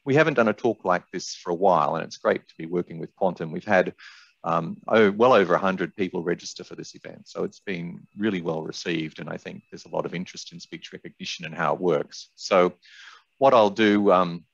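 A man's voice: sits low, a little fast, gravelly. A middle-aged man with an Australian accent, giving a conversational presentation over a video call with wry, self-deprecating asides. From Brisbane, Australia. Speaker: webinar presenter, sales director. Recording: muffled, roomy video-call audio.

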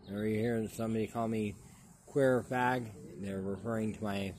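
Low, flat-voiced man rambling to a phone. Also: slight background noise. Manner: repetitive, halting restarts. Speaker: vlogger. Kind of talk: rambling selfie plea to camera. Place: outdoors under a tree. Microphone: phone held at arm's length.

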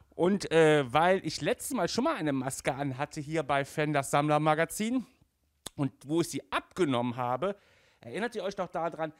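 A man in his forties hosts a collectors' show in a fairly high voice. He takes his time, leaning on words with an emphatic, enthusiastic delivery. The sound is close and dry. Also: dry recording. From Germany. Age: 40s. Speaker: collector magazine show host. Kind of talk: casual enthusiastic presenting to camera. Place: small indoor room with toy displays. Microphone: handheld microphone, later headset.

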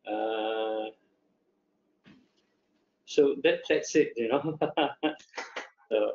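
A man's voice: on the low side, drawn-out words, a little mellow. An older man from Malaysia introducing himself on a video call, hesitant and self-deprecating, with small laughs. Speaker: panelist, interpreter. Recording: lo-fi echoey video call audio.

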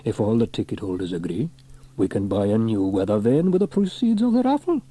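A brave, cheerful fireman hero, as a male storyteller plays him for children. His voice is lively and swooping with a slightly rough grain, always reassuring and upbeat. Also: lowish voice, fairly quick. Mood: bright.

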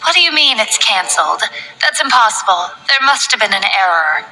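A woman voicing a sharp, indignant female assistant who snipes at others. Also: medium pitch, big pitch swings, moderate pace, faint music behind, heavily acted, poor audio. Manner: indignant, sniping.

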